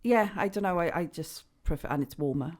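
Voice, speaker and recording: Welsh woman, middle-aged, low-pitched, relaxed, chatty, laughing guest. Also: brisk, crowd noise. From Wales. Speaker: guest bowls player. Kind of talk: casual interview chat.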